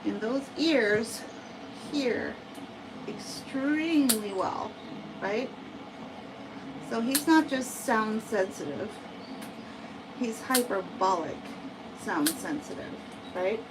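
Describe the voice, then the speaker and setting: American woman, cat groomer, slow talker, higher voice, distant mic, loud background noise. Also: expressive. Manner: chatty, slow, often adds "right?". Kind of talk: casual livestream grooming demo. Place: grooming salon, at the bath.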